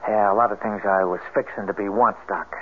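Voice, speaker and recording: An actor playing an earnest, anxious young man from a swamp cabin in a 1970s radio drama, urgent and a little halting. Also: on the low side, steady tone, close to an everyday voice. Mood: guarded. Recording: noisy, tinny vintage radio broadcast.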